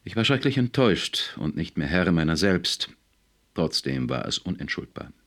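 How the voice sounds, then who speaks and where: A man's voice: low voice, big pitch swings, normal speed, fairly bright tone. A man voicing a distraught historian, a stern husband barely keeping his composure, in an audiobook story. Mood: subdued apology.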